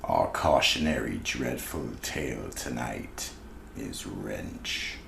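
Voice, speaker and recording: A man's voice: very deep voice, expressive, vocal fry, background noise, exaggerated voice. A man playing an ominous, grave narrator of a radio mystery theatre, solemn and theatrical. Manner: solemn, deliberate.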